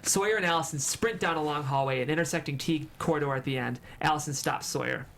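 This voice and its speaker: A man's voice: a little high, quick pace, creaky, fairly bright tone. A man reading stage directions as the narrator of a screenplay table read. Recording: faint room noise.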